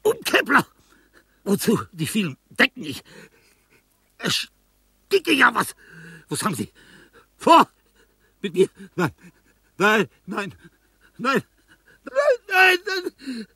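Casting: frail dying old artist, radio drama. Husky and creaky, delirious, pleading, halting delivery. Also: male voice, extremely high pitch, huge pitch swings, pushed and bright, no echo, studio quality, exaggerated voice. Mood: panicked. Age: older. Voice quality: husky, breathy, breaking voice. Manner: halting fragments, whispers rising to frantic cries. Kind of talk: German radio play acting.

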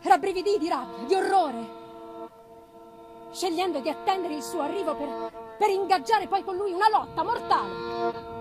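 A woman's voice: extremely high pitch, expressive, normal speed, pauses often, over music. A woman narrating a gothic novel dramatically, with theatrical, suspenseful and broken phrasing. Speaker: reader of a novel.

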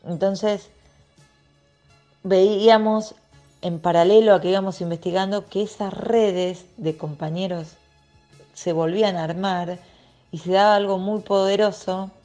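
A woman's voice: medium pitch, steady tone, unhurried, mellow, soft background music. A woman with an Argentine accent giving reflective interview answers, hesitating as she searches for the right words. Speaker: interviewed writer and co-author.

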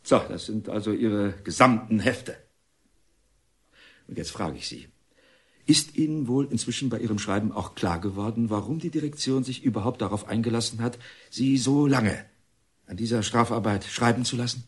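An actor playing a stern, self-important middle-aged reformatory director in a German radio drama, speaking formally and deliberately with a husky voice. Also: male voice, fairly low pitch. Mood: probing, lecturing.

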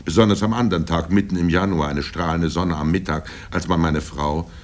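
An older man reading literary first-person prose in a grave, deliberate manner with dramatic pauses. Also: steady tone. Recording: low rumble and background noise.

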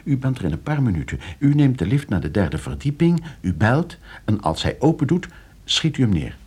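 An actor playing a coolly scheming, suave and condescending nephew in a Dutch radio play. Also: male voice, mid-range voice, big pitch swings, moderate pace, darkish tone, breathy edge. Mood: urgent, soothing. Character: scheming, debt-ridden nephew coolly arranging his uncle's murder.

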